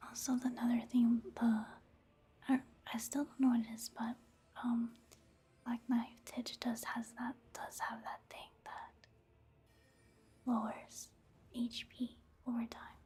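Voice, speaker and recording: A woman giving soft-spoken ASMR commentary over gameplay in a breathy, hushed whisper, unhurried. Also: a little high, little pitch movement. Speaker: ASMR video creator narrating her gameplay.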